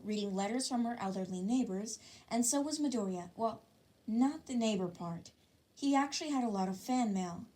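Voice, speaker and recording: A woman narrating a fan-fiction story in an expressive reading style. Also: mid-range voice.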